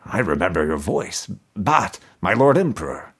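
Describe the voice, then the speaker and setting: A faithful dead gardener , as a male narrator puts him on, who answers in a low, hesitant voice and halts over his words. He sounds dutiful and unsure. It belongs in a dark spoken-word fantasy story. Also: sing-song, husky, heavily acted. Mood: uneasy. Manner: hesitant, halting.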